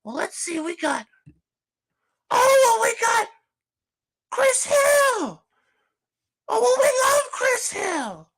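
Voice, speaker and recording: A man voicing a gushing woman host in falsetto on a comedic livestream, starting almost every line with "well". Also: sing-song, slow pace, long pauses, fairly bright tone, exaggerated voice, poor audio. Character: shrill fake woman hostess, gushing. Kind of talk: comedic livestream character bit.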